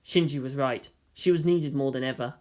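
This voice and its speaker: A man's voice: medium pitch, moderate pace, darkish tone. A man reading fiction aloud as narrator, in a dramatic scripted style. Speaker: story narrator.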